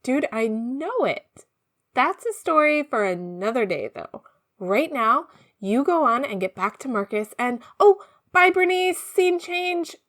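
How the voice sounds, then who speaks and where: A woman's voice: high voice, very animated, measured pace, a bit bright, heavily acted. A woman playing a chatty, slangy, conspiratorial narrator in an audio comedy, talking casually and gossipily. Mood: friendly, teasing. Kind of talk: conversational audio drama narration.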